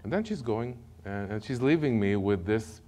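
A middle-aged Israeli man with an Israeli accent giving a testimony sermon from a church podium, telling his story in an animated way and often repeating words as he goes. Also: on the low side, moderate pace. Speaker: guest speaker. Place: church sanctuary, large room.

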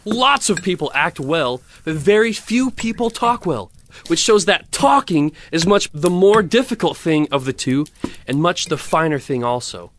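An actor playing a smug, self-righteous miller in an audio drama, with a pompous, preachy manner. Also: male voice, fairly high pitch, animated, a little slow, fairly bright tone, slight background noise. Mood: self-satisfied. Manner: pompous, sententious. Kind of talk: theatrical audio drama acting.

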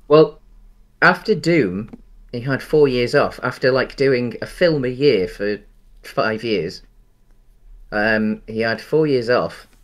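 A man, co-hosting a casual film chat over a video call, rambling through restarts. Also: mid-range voice. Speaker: podcast co-host. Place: home room on a video call.

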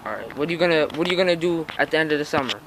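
A man asks relaxed, hesitant questions from behind a handheld camera, often pausing with "um" and "all right". His voice sits a little high for a man. The outdoor audio carries a clear hiss of background noise. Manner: laid-back, hesitant, fills pauses with "um, all right". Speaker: interviewer filming a young skater. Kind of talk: casual off-camera interview. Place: outdoors on a house porch.

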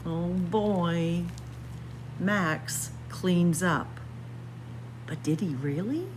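A woman reading a picture book aloud to young children in a playful, expressive story time manner. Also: lowish voice, very slow, a little hiss or hum. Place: indoors, library with bookshelves.

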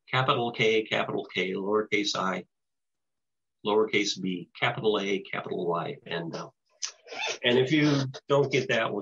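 An older American man giving an informal presentation and answering questions in a halting, hesitant way over a video call. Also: on the low side, fairly bright tone, husky. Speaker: presenter, radio show producer. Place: small home office with bookshelves, indoors. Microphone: computer mic on a video call. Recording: dull lo-fi video call audio.

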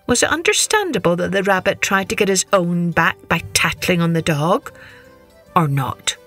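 Woman, children's storyteller, very animated intonation, dramatic emphasis, faint music behind. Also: fairly low pitch, normal speed. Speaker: children's storytelling podcast host.

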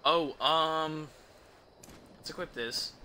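A young man giving casual, halting gameplay commentary, muttering to himself as he plays. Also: fairly high pitch, bright, forceful tone. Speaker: game streamer. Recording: clear background noise, game ambience.

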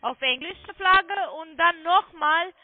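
High-pitched woman on a phone line. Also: slow pace. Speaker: phone sales agent claiming to be technical support. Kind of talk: phone sales call, step-by-step instructions.

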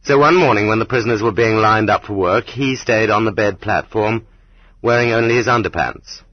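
Low-voiced man narrating, slightly muffled. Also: moderate pace, no echo. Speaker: audiobook narrator. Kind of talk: scripted literary narration. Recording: slightly dull, muffled audiobook recording.

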